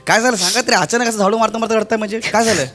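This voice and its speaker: A man's voice: high voice, steady tone, rapid-fire, bright, forceful tone, soft background music. A man playing a playful householder in a stage comedy skit, teasing and laughing as he talks. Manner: teasing, jokey, breaks into laughter. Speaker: comic actor. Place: stage before a live audience.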